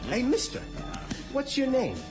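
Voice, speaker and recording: Brash, excitable young superhero, wildly swooping. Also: male voice, extremely high pitch, a little slow, exaggerated voice. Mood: excited. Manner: slangy, wisecracking, exclamatory. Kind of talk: 1990s radio drama acting. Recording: loud music under the dialogue.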